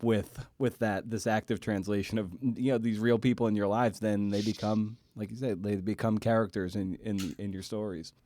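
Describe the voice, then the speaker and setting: A man hosting a podcast interview in a casual, halting way, often repeating words as he forms his thoughts. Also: lowish voice, fairly even intonation, dark tone, no room sound. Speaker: podcast host and writer.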